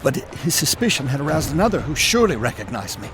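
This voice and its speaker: An actor playing the apostle Peter, telling the story in a halting, stammering first-person way. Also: male voice, mid-range voice, very animated, noisy background, lightly acted. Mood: remorseful. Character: remorseful apostle Peter, a grown fisherman. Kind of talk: first-person dramatic narration. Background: music.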